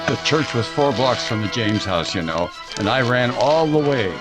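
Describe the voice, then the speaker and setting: A man's voice: mid-range voice, lively intonation, moderate pace, loud music behind the voice, lightly acted. A man acting as the narrator of a 1940s-style radio drama, recalling his boyhood in a conversational, hesitant way. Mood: urgent reminiscence. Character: man fondly narrating a boyhood memory of a small-town tragedy.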